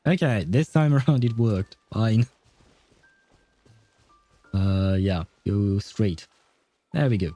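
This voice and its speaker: A man with a mellow, relaxed voice gives casual game commentary. He chats wryly with viewers on a dry, close mic, with faint music behind him. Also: on the low side, slow, pauses often, no echo. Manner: informal, swearing, wry. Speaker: game livestreamer. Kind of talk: casual live gaming commentary, chatting with viewers.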